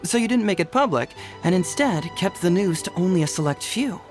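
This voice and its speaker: An actor playing a young male detective in a video game, quick-witted, easygoing and teasing. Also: high-pitched, animated, music in the background. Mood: easygoing, playful. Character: young male detective, sharp and playfully confident. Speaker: detective character.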